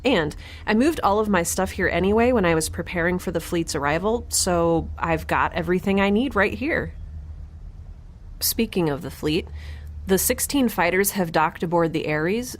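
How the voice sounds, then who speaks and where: A woman's voice: lowish voice, lively intonation, moderate pace. A woman playing a blunt, curious young woman in space, with a wry, rambling, analytical way of talking, in a sci-fi audio drama. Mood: giddy, wry.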